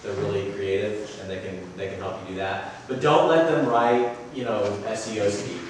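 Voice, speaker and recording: A man giving a conference talk and taking questions, with a booming, loud voice and a relaxed, conversational manner. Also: normal speed, slight background noise. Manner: relaxed and conversational, with frequent asides. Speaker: conference speaker. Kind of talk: presenting a talk with audience questions. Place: conference session room. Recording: very echoey room, voice from far off.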